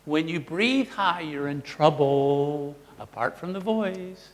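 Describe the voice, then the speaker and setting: A man's voice: fairly high pitch, slow, long reverb tail. A man presenting a live workshop, teaching the audience and acting out voice examples himself. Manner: animated, demonstrative, models voices for the audience.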